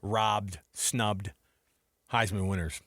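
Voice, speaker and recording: A man's voice: on the low side, fairly even intonation, slow pace, fairly bright tone, no echo, studio quality. An American man co-hosting sports radio, chatting casually about college football in an informal, rambling, playful way. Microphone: close studio broadcast microphone.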